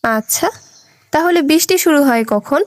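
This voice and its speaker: A woman voicing a curious, expressive young woman in an educational cartoon, speaking colloquially with questions and exclamations. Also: high-pitched, moderate pace, no room sound. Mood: curious, amazed.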